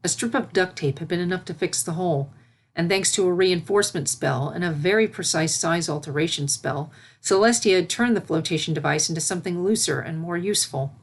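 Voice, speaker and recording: Female narrator for scripted fiction, slightly low register. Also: fairly quick.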